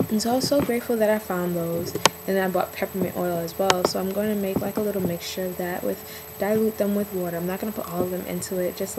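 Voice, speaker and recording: A woman's voice: medium pitch, very noisy. A young woman chatting casually to her webcam at home, with many ums and likes. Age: young adult. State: slightly hoarse. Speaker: vlogger. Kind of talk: casual vlog chat. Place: bedroom at home, indoors. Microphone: webcam built-in mic, close.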